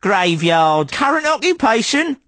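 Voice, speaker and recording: An actor playing a droll dead academic in a comic video game, complaining in a dry manner. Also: male voice, high voice, very animated, unhurried, a bit bright, no room sound, heavily acted. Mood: droll, grumbling. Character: droll dead academic grumbling about death's paperwork.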